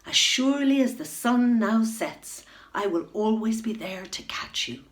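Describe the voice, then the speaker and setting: A woman voicing a kindly, enormous male sea creature in a storybook, speaking softly and low. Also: lively intonation, unhurried.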